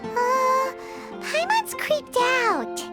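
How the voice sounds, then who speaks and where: High squeaky little fairy girl, bouncy, nosy, game music behind. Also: very animated, very slow, full character voice. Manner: talks about herself in the third person, nosy. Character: tiny female fairy companion, chatty and excitable. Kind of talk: video game voice acting. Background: light game music.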